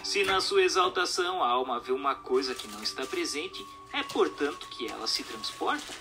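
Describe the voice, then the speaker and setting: A man's voice: medium pitch, very animated, moderate pace, music in the background. A man with a Brazilian accent reading a formal, didactic text aloud as scripted narration.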